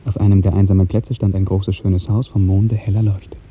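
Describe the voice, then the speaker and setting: A man narrating a literary 1950s radio drama in a measured, poetic storytelling manner. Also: low voice, little pitch movement, breathy edge. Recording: muffled narrow 1950s radio recording.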